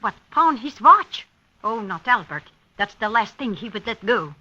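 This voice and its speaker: An actress playing a bitter, hesitant abandoned wife in a 1950s radio drama, speaking in short, halting phrases. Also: female voice, fairly high pitch, sing-song, sharp tone, heavily acted. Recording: dull lo-fi vintage radio broadcast.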